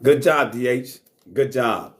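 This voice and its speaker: A man's voice: medium pitch, slow, a bit bright. Blunt streetwise man swearing freely. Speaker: talk show host. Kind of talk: casual monologue to live chat.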